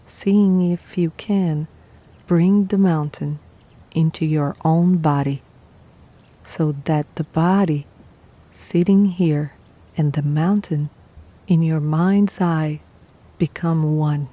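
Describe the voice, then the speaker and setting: A woman guiding a meditation in a calm, unhurried, soothing manner, leaving pauses between short phrases. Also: low-pitched. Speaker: meditation guide. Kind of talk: guided meditation, scripted narration.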